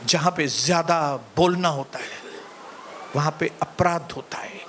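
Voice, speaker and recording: A male pastor preaching a sermon, calling out to the congregation and later praying softly. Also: slight background noise. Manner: preaching, rising to shouted calls to the congregation; soft, low, slow in prayer. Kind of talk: sermon and closing prayer.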